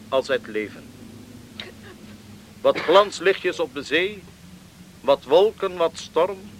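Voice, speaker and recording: A village preacher, delivers a funeral sermon in a radio drama. His fairly high voice moves slowly and gravely, declaiming each phrase over faint noise. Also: fairly bright tone, exaggerated voice, poor audio.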